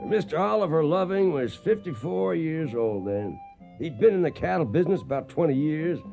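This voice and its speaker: Radio Western storyteller, warm dark tone, lively reminiscing cowboy drawl. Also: male voice, a little high, muffled recording. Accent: American Western drawl. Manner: folksy, reminiscing. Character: folksy old-time cowboy storyteller recalling trail days. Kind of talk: first-person narration in Western radio drama.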